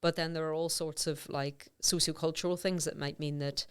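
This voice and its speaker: Low, smooth-voiced woman researcher. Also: steady tone, fairly quick. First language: English. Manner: thoughtful, many fillers and self-restarts. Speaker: voice researcher, podcast guest. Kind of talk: conversational interview.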